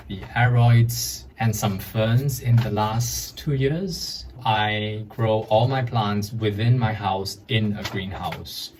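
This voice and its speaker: A man from Malaysia, a guest calmly explaining things while showing his plant shelves at home. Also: medium pitch. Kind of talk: casual home tour explanation.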